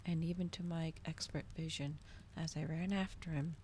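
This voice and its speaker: A woman reading a novel aloud in a hushed ASMR style, softly and breathily, often slipping toward a whisper. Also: low-pitched, steady tone, normal speed, a little hiss or hum.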